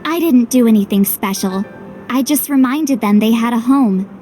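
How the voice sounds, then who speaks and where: A woman's voice: on the high side, normal speed, dark tone, natural voice. A woman voicing a blunt, modest young heroine in a video game, casual and dutiful. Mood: cheerful, modest. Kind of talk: English video game voice acting. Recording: game music under the voice.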